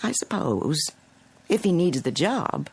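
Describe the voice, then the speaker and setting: An actress playing a polite, fretful middle-aged neighbor with a prim, hesitant, insinuating manner in a radio drama. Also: female voice, on the low side, big pitch swings, takes it slowly, vocal fry, a little mellow, slightly rough, exaggerated voice. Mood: uneasy, insinuating. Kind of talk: naturalistic radio drama dialogue.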